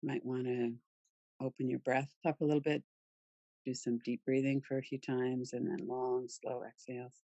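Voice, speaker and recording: Female meditation guide, soft-edged dark tone, close dry sound, soothing delivery with pauses. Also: very deep voice, little pitch movement, no room sound, highs cut off. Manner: soothing, gentle, unhurried with pauses. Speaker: yoga nidra meditation guide.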